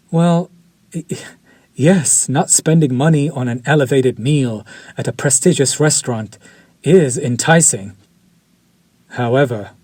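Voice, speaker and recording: An actor playing a refined, possessive male vampire chef who speaks formally, slowly and with quiet menace, in an audio roleplay for a listener. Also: mid-range voice, slightly rough, dry recording. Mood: amused. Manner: slow, formal, seductive and menacing.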